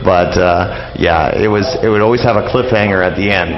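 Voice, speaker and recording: A man's voice: low voice, normal speed. A man speaking as a guest in a casual radio interview, explaining things in an informal, rambling way. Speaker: guest interviewee, old-time radio enthusiast. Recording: dull lo-fi radio audio, crowd murmur.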